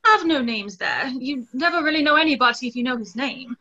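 Woman acting bright, high-voiced dreamy young woman. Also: expressive, brisk, heavily acted. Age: 20s. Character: dreamy, fanciful young woman who believes in fairies. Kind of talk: stage play reading over video call. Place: small indoor room, video call. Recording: dull video-call audio.

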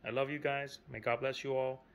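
A man's voice: medium pitch, level tone, fairly quick, fairly bright tone. Chatty man showing a map. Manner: chatty and informal, lots of fillers and asides. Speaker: vlogger. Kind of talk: casual vlog narration.

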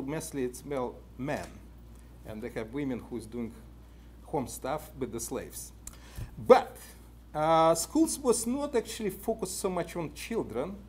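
A man's voice: fairly high pitch, a little mellow, a little hiss or hum. A man in his fifties with a Russian accent gives a conference lecture at a podium in an indoor meeting room, talking animatedly with many "uh" fillers and putting questions to the audience, picked up by a room camera from a distance. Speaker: conference speaker.

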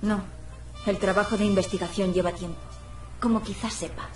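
An actress playing a sharp-tongued, skeptical young woman in a video game, with a clipped, sarcastic manner. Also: mid-range voice, steady tone, normal speed, recorded from a distance, close to an everyday voice. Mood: curt. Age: young adult. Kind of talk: video game dialogue acting. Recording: echoey room, music behind.

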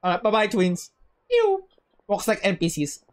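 A woman livestreamer chatting casually over a game in an excitable, joking way, often repeating phrases. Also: mid-range voice, moderate pace, background noise. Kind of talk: casual game stream chat. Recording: game music behind.

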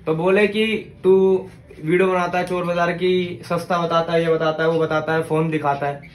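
A young man vlogging from a street market on his phone, chatty and excited, then talking at home. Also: a little high, normal speed, slight background noise. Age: young adult. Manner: excited, chatty, keeps saying "bhai". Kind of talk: casual market vlog. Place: crowded outdoor street market, later a bedroom. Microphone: phone held at arm's length.

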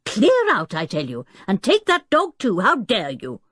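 A woman voicing an absent-minded, irascible middle-aged male professor in a storybook, with a gruff, blustering manner. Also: medium pitch, big pitch swings, normal speed, no echo, clean studio sound, pitched above the performer's own voice. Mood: furious roaring.

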